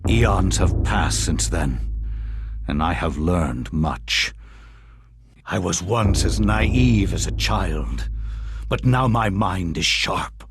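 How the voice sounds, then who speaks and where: Deep, gravelly undead villain. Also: male voice, lively intonation, heavily acted. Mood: grandiose menace. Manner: grave, deliberate, grandiose. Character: ancient undead Roman centurion turned sinister villain, menacing and proud. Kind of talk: theatrical video game villain monologue. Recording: noisy game audio capture.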